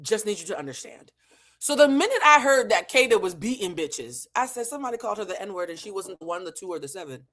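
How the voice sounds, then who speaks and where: A woman's voice: mid-range voice, sing-song, fast, a bit bright. Blunt, emphatic American woman. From New England, United States. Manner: blunt, heavily profane, emphatic with rhetorical repetition. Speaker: online show host and emotional intelligence teacher. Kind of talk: opinionated reality show recap to camera.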